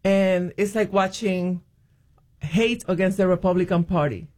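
A woman's voice: lowish voice, steady tone, measured pace, a little mellow. Hesitant woman guest. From Puerto Rico. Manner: hesitant, many ums and restarts. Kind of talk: interview, telling her faith story.

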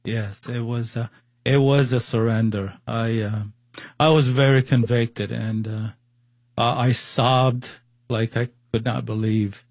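A man in his sixties speaks with a Cuban Spanish accent. He talks slowly and haltingly, with plenty of fillers and restarts, as he tells his story to an interviewer. The recording is dry and close. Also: medium pitch, fairly even intonation, darkish tone, dry recording. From Cuba. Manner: hesitant, many fillers and restarts. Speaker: guest. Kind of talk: personal testimony interview.

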